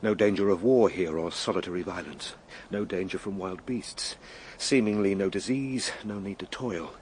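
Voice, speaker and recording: An actor playing an earnest, excitable Victorian time traveller in a radio play, speaking in a formal, declamatory way. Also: male voice, low-pitched, moderate pace, slight background noise, lightly acted. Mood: grave musing. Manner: declamatory, formal, spoken as a live log. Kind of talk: dramatised radio play monologue.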